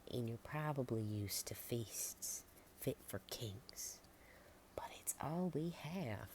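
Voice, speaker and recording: A woman voicing a kindly, polite village farmer woman in a soft whisper for a storybook reading. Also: a bass voice, lively intonation, slow pace, pitched above the performer's own voice, exaggerated voice. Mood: concerned. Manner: whispered, hushed delivery.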